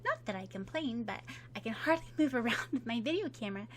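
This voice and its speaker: A woman's voice: expressive, quick pace. Woman doing a chattering, dreamy teen girl. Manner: bright, high and chattering. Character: cheerful teen girl, dreamy planner with a camera.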